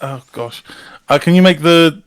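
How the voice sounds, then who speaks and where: A man's voice: fairly high pitch, unhurried, clear, resonant tone, no room sound. A man in casual chat, talking someone through a technical fix, often stammering and repeating words. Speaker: live-stream participant giving technical help.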